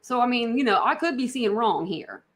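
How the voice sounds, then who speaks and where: A woman's voice: a little high, expressive, moderate pace. A woman hosting a casual livestream, reacting to videos in a rambling way, repeating herself and talking straight to her viewers. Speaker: livestream host.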